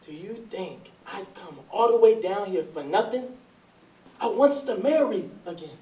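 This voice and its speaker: A woman's voice: mid-range voice, lively intonation, measured pace, dark tone, natural voice. A woman playing an earnest, bossy, lovelorn husband in a staged reading with Southern dialect. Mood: tender. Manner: urgent, pleading, commanding. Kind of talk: staged readers theatre, Southern period dialect. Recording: echoey, distant stage recording.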